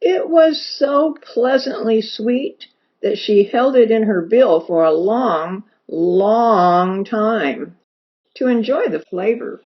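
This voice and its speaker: A woman reading a children's story aloud as an expressive narrator, stretching words for emphasis. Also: a little high, slow. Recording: dull lo-fi recording with music behind. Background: music.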